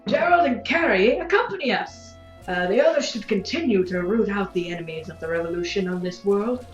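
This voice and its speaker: A woman's voice: mid-range voice, expressive, a little fast, echoey room, muffled recording, faint music behind, close to an everyday voice. A woman playing a grandiose, effusively polite but unrepentant revolutionary zealot in an audio drama, speaking in formal, stilted phrases. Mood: grave, guarded. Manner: formal, stilted, refers to self as "one".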